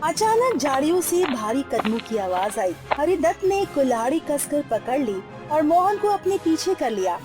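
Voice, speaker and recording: A woman's voice: high voice, very animated, normal speed, few pauses. A woman narrating a scripted suspense story in a dramatic style. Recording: music behind the narration.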